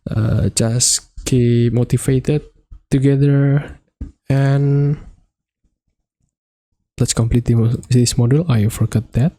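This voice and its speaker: Slow, gravelly man. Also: mid-range voice, fairly even intonation, warm tone, no room sound. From Indonesia. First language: Indonesian. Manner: halting, hesitant non-native phrasing. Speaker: coding tutorial host. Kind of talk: screen-recorded coding walkthrough.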